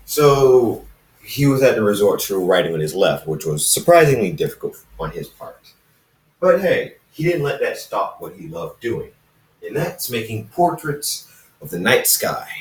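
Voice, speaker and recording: Echoey, slow-paced man telling a story. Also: fairly low pitch, very animated. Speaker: fan fiction narrator reading own recording. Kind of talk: informal storytelling, reading aloud with asides to listeners. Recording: roomy, echoey, distant microphone.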